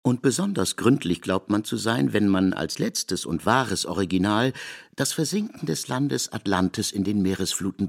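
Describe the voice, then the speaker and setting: A man reading literary narration for radio in a measured, articulate way. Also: deep voice, vocal fry, a little mellow.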